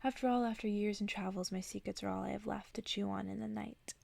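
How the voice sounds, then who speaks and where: A woman ASMR host whispering softly and close to the microphone in a breathy voice, as if reading bedtime stories aloud. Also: medium pitch, fairly even intonation, quick pace, no echo. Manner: whispering, soft-spoken.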